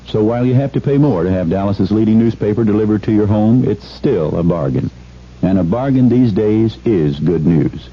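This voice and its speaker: A man's voice: on the low side, breathy edge. An American man reading a scripted, conversational 1970s radio commercial. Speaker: commercial spokesman. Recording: dull, hissy vintage radio broadcast.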